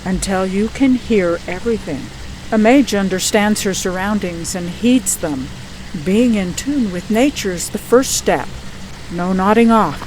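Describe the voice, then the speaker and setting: A woman's voice: mid-range voice, unhurried, a little mellow, a little hiss or hum, natural voice, poor audio. A middle-aged woman playing a stern mother and mage, giving firm, no-nonsense orders in an audio drama. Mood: instructive, stern. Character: stern, commanding mother and mage training her daughter.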